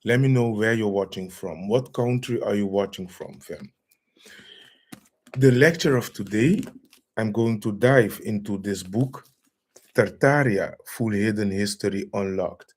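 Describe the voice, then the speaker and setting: Slow-talking man hosting a livestream. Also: on the low side, a little mellow. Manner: slow, greets viewers by name. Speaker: livestream host. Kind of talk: live-stream lecture chat.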